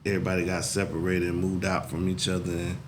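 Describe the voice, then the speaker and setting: A man's voice: deep voice, completely flat delivery, quick pace, noisy background. A man in his forties hosting a casual talk show, rambling and laid-back, with "you know what I'm saying" as a frequent filler. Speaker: podcast host. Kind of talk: casual chat.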